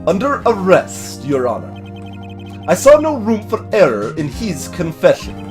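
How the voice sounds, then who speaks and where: A man's voice: sits high, wildly swooping pitch, measured pace, faint music behind, exaggerated voice. A man voicing a haughty, commanding prosecutor in a video game, with a pompous, clipped and dismissive delivery. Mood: cold, assured.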